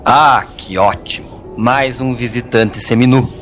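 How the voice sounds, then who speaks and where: In this audio drama, a man plays a hairless hermit monk who is gruff and endlessly sarcastic. He grumbles and mocks in colloquial speech, at a medium pitch. Faint music sits behind the voice. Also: measured pace, fairly bright tone, natural voice. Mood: indignant.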